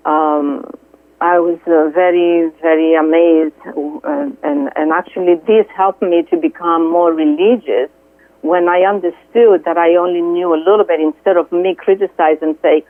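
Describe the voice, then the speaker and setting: A middle-aged woman from Greece with a Greek accent, a guest speaking earnestly and haltingly, with many fillers, over the phone. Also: on the low side, steady tone, no room sound. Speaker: guest, Reiki healer. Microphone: telephone. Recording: tinny dry phone line.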